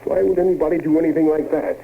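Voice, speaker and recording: An actor playing an earnest, worried rancher in a 1950s radio western, given to exclaiming. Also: male voice, on the high side, racing, creaky, a little hiss or hum. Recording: muffled old radio tape rip.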